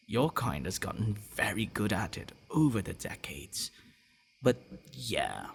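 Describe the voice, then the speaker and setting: A man playing a gentle, devoted vampire boyfriend in an intimate ASMR roleplay, speaking softly and haltingly. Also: on the low side, a little hiss or hum, full character voice. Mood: hopeful. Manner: halting, soft-spoken, often dropping to breathy murmurs.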